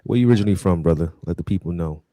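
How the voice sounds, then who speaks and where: A man's voice: low-pitched, very fast, warm tone, no echo, studio quality. A man hosting a casual interview, speaking in a colloquial, encouraging way. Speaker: talk show host.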